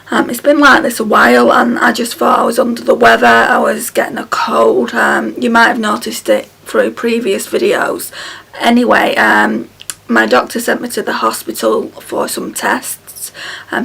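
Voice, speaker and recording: A woman in her thirties chatting to camera at home, her voice hoarse and croaky from illness, tired and halting with many ums. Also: on the high side, level tone, fairly bright tone. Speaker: beauty vlogger. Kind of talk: casual chat to camera. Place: small room at home, indoors. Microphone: camera close in front.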